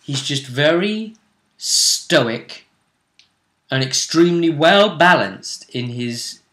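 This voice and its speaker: Man, game master, casual recap, dramatic emphasis, crisp bright edge, echoey room. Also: on the high side, lively intonation, takes it slowly. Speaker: game master and vidcast host. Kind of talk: casual recap of a tabletop role-play tournament. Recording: roomy, echoey room sound.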